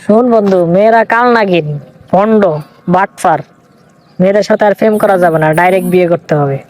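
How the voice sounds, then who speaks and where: A man's voice: high voice, brisk, a little mellow, soft background music, lightly acted, poor audio. A man with a Bangladeshi accent playing a devoted, hot-headed young boyfriend in a comic cartoon. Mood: hurt, angry.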